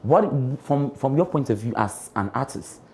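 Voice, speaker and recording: A man interviewing a singer, asking questions and filling pauses with frequent short acknowledgements. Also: medium pitch, lively intonation, a little mellow. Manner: frequent short backchannel responses. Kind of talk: interview conversation.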